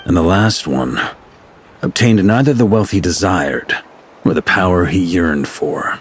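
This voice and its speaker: A gruff knight commander. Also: male voice, sits low, lively intonation, a little hiss or hum. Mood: solemn. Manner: measured, commanding, dryly teasing. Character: seasoned male knight commander, stern but wry. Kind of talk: video game dialogue. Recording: game audio with music underneath.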